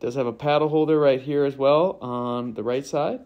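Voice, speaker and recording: A man's voice: mid-range voice, fairly even intonation, normal speed, muffled recording. A man narrating a walkthrough of a kayak's features in an easygoing manner, listing them in short phrases. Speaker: kayak reviewer.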